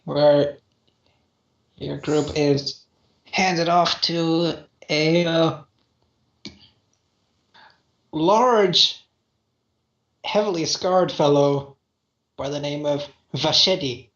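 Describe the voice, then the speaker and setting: A man narrating a tabletop game as game master, halting with many pauses and fillers. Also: a little high, fairly bright tone. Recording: roomy, dull lo-fi audio.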